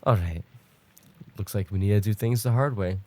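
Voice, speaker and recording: A man playing a possessive, smugly menacing stalker in an ASMR roleplay, taunting in a mock-tender, unhurried way with a gravelly, husky voice. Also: lowish voice, darkish tone, natural voice. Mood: controlled, threatening.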